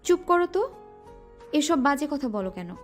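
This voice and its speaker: A woman's voice: sits high, big pitch swings, normal speed, faint music behind. A woman playing a shy, guarded young student. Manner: bashful, doubtful, sometimes scolding. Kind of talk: audio drama acting.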